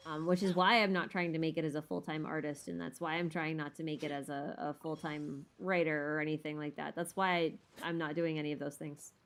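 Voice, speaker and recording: A woman's voice: low voice, steady tone, fairly quick, hardly any pauses, a bit bright. A woman hosting a casual live Q&A stream while gaming, chatting in a playful, self-deprecating, rambling way with muttered asides.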